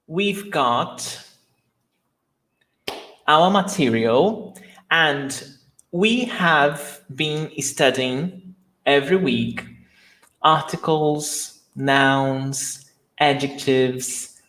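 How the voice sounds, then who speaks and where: A man's voice: fairly high pitch, a bit bright, rough recording. Upbeat Brazilian man teaching a live class. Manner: enthusiastic, greets viewers by name. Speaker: Portuguese teacher. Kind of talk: live online language lesson.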